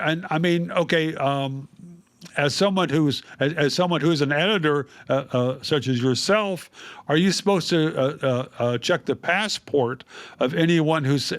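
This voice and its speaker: A man speaking as a guest writer on a podcast, his voice sitting slightly high. He talks in a wry, dry-humored way, pausing and hedging with fillers as he builds his point. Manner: wry, hesitant with many fillers. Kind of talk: casual conversational interview.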